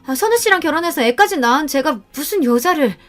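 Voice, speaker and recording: A woman's voice: sits high, lively intonation, fast, a bit bright, faint music behind, voice pushed up. A woman voicing a panicked young daughter-in-law who stammers and pleads in a radio-style story. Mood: flustered denial.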